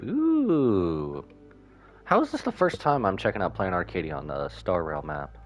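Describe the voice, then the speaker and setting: A man giving casual live commentary as a game streamer, rambling and animated, with jokey asides. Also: sits low, unhurried, muffled recording, faint music behind.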